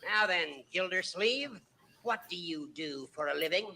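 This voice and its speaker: An irascible old judge in a vintage radio comedy. His high, creaky voice swoops with sarcastic authority, on a noisy old recording. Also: expressive, measured pace. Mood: stern. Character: crusty, irascible older male judge, sarcastic and domineering. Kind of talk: 1940s radio comedy acting.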